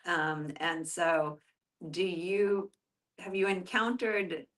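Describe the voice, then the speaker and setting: Low-voiced woman, heard from a distance. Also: slow, fairly bright tone. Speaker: discussion participant asking questions. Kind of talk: casual group discussion. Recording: distant mic.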